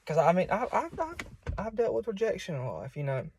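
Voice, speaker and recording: A man's voice: high-pitched, wildly swooping pitch, fairly quick, a little mellow, slight background noise. A young man with a Southern US accent, preaching in an informal, folksy and conversational way. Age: young adult. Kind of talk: informal sermon. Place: solo self-recording.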